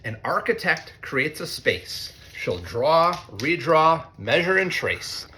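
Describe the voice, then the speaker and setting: An American man, a teacher, reads a picture book aloud to children in an expressive way, with conversational asides. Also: on the high side, a little hiss or hum. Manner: expressive, deliberate when reading, conversational in asides. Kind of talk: read-aloud storytelling with chatty asides to children.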